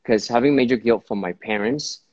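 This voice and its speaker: Halting man in his forties, muffled call audio. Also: mid-range voice, a little mellow. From Vietnam. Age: 40s. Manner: halting, repeats words, casual. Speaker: guest hairdresser. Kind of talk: live video chat interview. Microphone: video call on phone.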